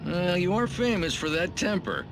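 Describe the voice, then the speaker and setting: A brash late-night talk show host with a very animated, swooping delivery. He is chummy and teasing, with a showman's mock outrage, over loud noise. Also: high voice, moderate pace. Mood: chummy ribbing.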